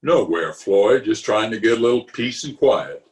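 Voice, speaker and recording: A man's voice: on the low side, lively intonation, natural voice. A man playing a pompous, blustering middle-aged uncle in an old-time radio comedy, drawing his words out indignantly. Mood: weary. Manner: pompous, indignant, drawn-out delivery. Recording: remote home recording over video call.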